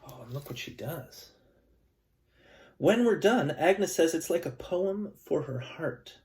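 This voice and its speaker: A man, an elementary school teacher, reading a picture book aloud to his students with gentle, calm storytelling and chatty asides to the kids. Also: fairly high pitch, takes it slowly, slight background noise.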